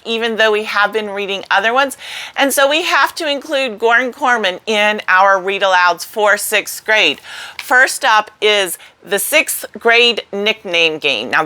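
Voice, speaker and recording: A woman's voice: mid-range voice, sharp tone. A middle-aged woman presenting a homeschool vlog in an enthusiastic, emphatic, organized way. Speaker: homeschool video host. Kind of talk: scripted-sounding vlog presentation of book picks.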